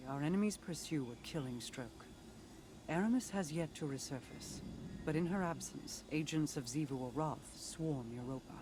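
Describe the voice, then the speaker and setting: An actor playing a watchful spymaster prince in a game, giving a formal, measured, ominous briefing. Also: male voice, medium pitch, background noise, lightly acted. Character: cunning, watchful male spymaster prince commanding scouts and corsairs. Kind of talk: scripted game radio briefing.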